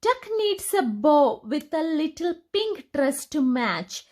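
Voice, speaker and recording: A woman voicing an eager little kitten pupil in a children's storybook, excited and gushing. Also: high voice, takes it slowly, pitched above the performer's own voice, full character voice.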